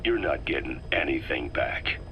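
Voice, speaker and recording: Forceful, bitter military interrogator. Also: male voice, very deep voice, animated, a little hiss or hum, full character voice. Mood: contemptuous. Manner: stern, accusatory, deliberate emphasis. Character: hard, accusing male military interrogator, bitter and relentless.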